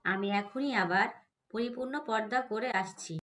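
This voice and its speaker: A woman voicing a polite, devout schoolgirl in an Islamic cartoon, with an earnest, measured manner. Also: fairly high pitch, a bit bright, natural voice.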